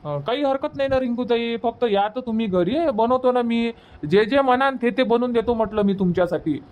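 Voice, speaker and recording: A man acts out an eager village man from a cartoon comedy. His voice sits very high for a man, and he talks in colloquial Varhadi Marathi, teasing and pressing his point. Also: fairly quick, a little mellow. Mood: eager persuasion.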